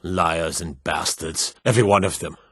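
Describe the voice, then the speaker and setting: A man voicing a stern, dutiful fantasy city guard in a video game, speaking in a gruff, formal, clipped way. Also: sits low, moderate pace, fairly bright tone, no echo, clean studio sound. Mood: angry, disdainful. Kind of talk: scripted game character dialogue.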